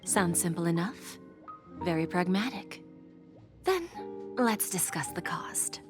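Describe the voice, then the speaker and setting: Slow, sly woman dealmaker. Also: fairly low pitch, very animated, over music. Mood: coolly bargaining. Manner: slow, sly, measured, sometimes breathy near-whisper. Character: poised, teasing woman dealmaker of a pawn exchange. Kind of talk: video game voice acting.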